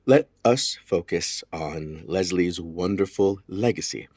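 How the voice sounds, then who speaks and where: An actor playing an unflappable, smug 1920s lawyer with an officious, pedantic manner and slightly husky voice in an audio drama. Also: male voice, sits low, slow pace, a little mellow, no echo, studio quality, heavily acted. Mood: officious, firm. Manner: theatrical, officious, dryly pedantic. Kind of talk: period audio drama acting.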